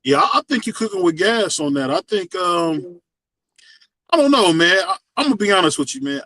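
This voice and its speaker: A man's voice: sits high, lively intonation, brisk, pushed and bright, no room sound. A man hosting a casual boxing talk show, emphatic and repeating himself. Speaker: host. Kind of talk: casual sports chat.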